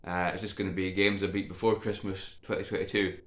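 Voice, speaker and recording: A man's voice: low voice, level tone, quick pace, a bit bright. A man chatting casually to viewers as a video game vlogger, slightly congested with a cold, in an informal, hesitant, meandering way. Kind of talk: casual rambling chat to viewers. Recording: echoey untreated room.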